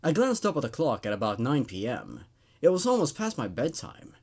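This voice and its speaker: A man's voice: medium pitch, huge pitch swings, a little fast, creaky, a little mellow, breathy edge. A man hosting a show where he reads horror stories aloud with dramatic narration and laid-back commentary.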